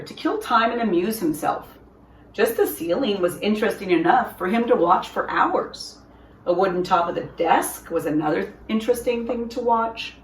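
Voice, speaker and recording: A woman reading a children's story aloud in an expressive storyteller's style, with deliberate, dramatic phrasing for young listeners. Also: medium pitch. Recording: roomy echo, distant from microphone.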